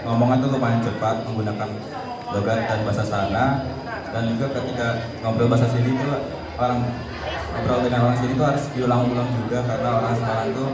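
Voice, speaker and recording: A teenage boy from Tangerang answers questions on a school stage in a plain, slightly halting voice. The sound is roomy and distant, with a loud crowd murmuring behind him. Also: mid-range voice. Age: teens. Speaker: student guest. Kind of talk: casual stage interview. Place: school event hall. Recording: echoey hall mic, far off, loud crowd.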